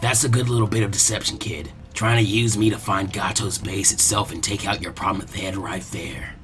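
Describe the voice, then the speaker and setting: A man voicing a menacing, mocking male ninja swordsman who talks slowly and condescendingly in a fan fiction audiobook. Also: deep voice, steady tone, background noise, voice pushed down, lightly acted. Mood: taunting.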